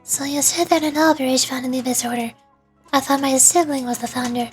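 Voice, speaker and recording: A woman's voice: fairly even intonation, brisk, breathy edge. A woman voicing a tiny, curious, cheeky fairy companion from a fantasy game, with a small, perky voice. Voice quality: very high, small. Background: game music.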